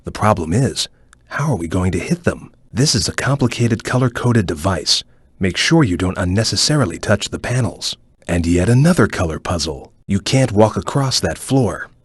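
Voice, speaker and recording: A man playing a calm, analytical ninja chameleon in a video game, giving wary hints in a deliberate, serious, husky voice. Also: sits low, no room sound, studio quality. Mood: calm, watchful. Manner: deliberate, serious, formal, cautioning. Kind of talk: video game character hint lines.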